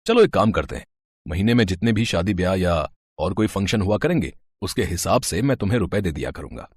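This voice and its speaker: A man's voice: low-pitched, animated, fast, a little mellow, breathy edge, no room sound, studio quality. A man voicing a brusque, bossy owner of a wedding band in a story. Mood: softened, businesslike. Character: gruff, dismissive male boss of a wedding band.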